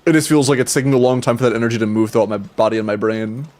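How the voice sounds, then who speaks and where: A man's voice: mid-range voice, little pitch movement, very fast, a little mellow. A young man streaming a game and chatting casually with viewers, sounding stuffy and congested, rambling with restarts, casual swearing and laughs. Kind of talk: casual livestream chat with viewers.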